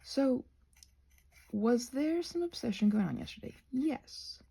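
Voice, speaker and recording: Husky, breathy woman rambling softly. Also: sits high, animated, takes it slowly. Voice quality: breathy, often dropping into near-whisper. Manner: playful, self-interrupting, trailing asides. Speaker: craft and ASMR video creator. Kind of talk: casual rambling vlog chat while crafting.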